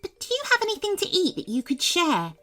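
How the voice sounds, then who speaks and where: A woman voicing a tiny, polite female fairy who speaks quickly in a high voice. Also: huge pitch swings, sharp tone, full character voice.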